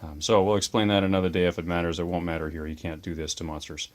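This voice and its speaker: Deep-voiced man explaining game rules. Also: flat delivery. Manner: chatty, enthusiastic, quick asides. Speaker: host of a tabletop wargame channel. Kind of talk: casual explanatory chat about game models and rules.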